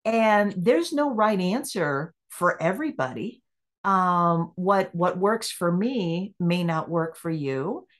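A woman's voice: fairly low pitch, lively intonation, slow. A middle-aged woman speaking as a podcast guest, reflective and easy to laugh, voicing her own inner questions as she talks. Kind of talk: conversational interview.

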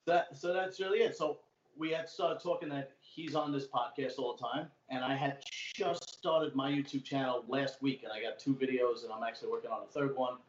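A man's voice: mid-range voice, fairly even intonation, fairly quick. A man with a thick old-school Brooklyn accent talks casually as a podcast guest. He tells stories at length and swears freely. The sound is roomy and dull, with a faint hiss behind him. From Brooklyn, New York. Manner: talkative storyteller, swearing freely. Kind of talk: casual chat. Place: home room, remote call. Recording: echoey room, dull lo-fi sound.

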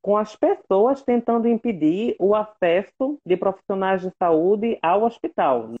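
Guest on a live, impassioned, repeats for emphasis, medium pitch, dull call audio. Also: expressive, unhurried, a little mellow. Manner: impassioned, repeats phrases for emphasis, many fillers. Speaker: guest, works at a state legislative assembly. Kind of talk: casual online conversation.

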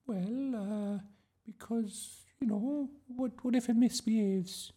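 A performer voicing a flustered, gentle woman schoolteacher in a storybook, hesitant and fussy. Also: mid-range voice, takes it slowly, breathy edge, pitched above the performer's own voice.

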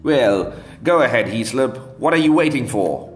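A man's voice: mid-range voice, animated, a little slow, roomy sound, voice pushed up, lightly acted. A man reading aloud voices a pompous, portly older British colonial official, hearty and commanding, with faint guitar music behind. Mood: impatient.

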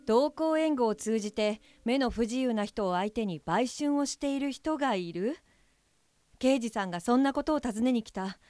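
A woman's voice: fairly high pitch, lively intonation, normal speed, a little mellow, a little hiss or hum, natural voice. A woman playing an earnest, anxious young care worker in an audio drama, flustered and questioning herself. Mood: troubled, brooding. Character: anxious, earnest young female guide helper for the blind. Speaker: voice actor. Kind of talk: audio drama acting with inner monologue.

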